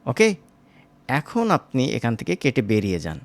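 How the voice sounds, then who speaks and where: A man teaching as a software instructor, informal and step by step, often checking in with short tag questions. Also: medium pitch, wildly swooping pitch, moderate pace. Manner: conversational, seeking agreement with short tag questions. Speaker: animation tutorial instructor.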